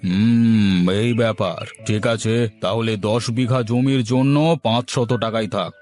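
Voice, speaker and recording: A man's voice: fairly low pitch, fairly even intonation, measured pace, darkish tone, faint music behind, lightly acted. A man voicing a greedy, domineering village landlord who scolds his tenants. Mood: scolding. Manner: scolding, bossy. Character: greedy, domineering male landlord, middle-aged.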